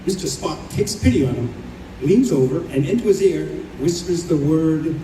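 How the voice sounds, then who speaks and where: An animated, somewhat high male voice, an actor playing a devoted, teasing young man in old-time radio drama. The sound is echoey and a little distant, as from a live stage. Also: moderate pace, natural voice. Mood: fond. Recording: roomy live stage recording, distant, faint noise.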